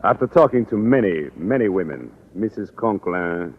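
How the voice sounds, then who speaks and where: An amorous middle-aged Frenchman with a French accent who speaks in broken, courtly English. His voice is very deep and swoops widely. It comes through a tinny old radio broadcast with faint hiss. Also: expressive, moderate pace. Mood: earnest. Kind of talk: 1950s radio sitcom acting.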